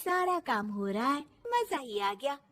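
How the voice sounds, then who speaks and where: A woman voicing a put-upon but cheeky young daughter-in-law in an animated story, with exaggerated delivery. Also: high-pitched, a little slow, exaggerated voice. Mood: gleeful. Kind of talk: animated moral story acting.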